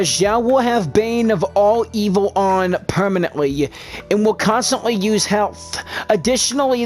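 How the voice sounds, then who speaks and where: Gamer doing a chill playthrough, reads the dialogue out loud, slangy, bit of game music behind. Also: medium pitch. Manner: informal, slangy. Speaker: gaming video narrator. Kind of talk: casual game playthrough, reading dialogue and commenting.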